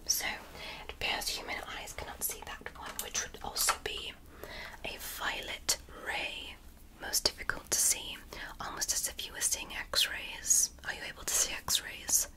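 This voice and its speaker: A woman whispering in ASMR roleplay as a curious, gentle alien doctor examining a human, speaking slowly and clinically with a breathy whisper up close to the mic. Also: close to an everyday voice, rough recording. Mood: soothing curious. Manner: slow, soft, clinical and fascinated. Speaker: ASMR creator. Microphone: close sensitive microphone.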